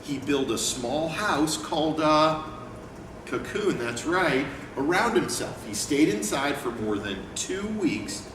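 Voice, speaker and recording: A man's voice: on the high side, animated, takes it slowly. Man in an echoey, noisy room. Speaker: educator and book company owner reading aloud. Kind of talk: picture book read-aloud and informal teaching talk. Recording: echoey room, distant mic, clear background noise.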